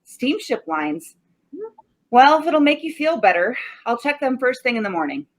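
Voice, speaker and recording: Woman playing a high-pitched sarcastic tough-guy cop. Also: very animated, moderate pace. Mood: skeptical. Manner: slangy, sardonic tough talk. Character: gruff, sarcastic male homicide cop, stubborn and unimpressed. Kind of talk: 1940s radio acting.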